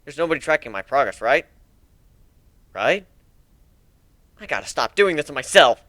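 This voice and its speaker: Male amateur narrator, slightly high and very animated, wry, expressive dramatic fiction reading, dry recording. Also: normal speed, dry recording.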